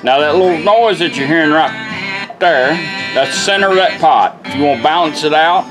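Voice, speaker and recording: A man's voice: on the high side, moderate pace, low-quality recording. Folksy middle aged guy showing off a product at his workbench, plain talk, some music playing behind him. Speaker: car radio restorer demonstrating a product. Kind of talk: casual product demonstration. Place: indoor workshop bench. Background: music playing from the radio being demonstrated.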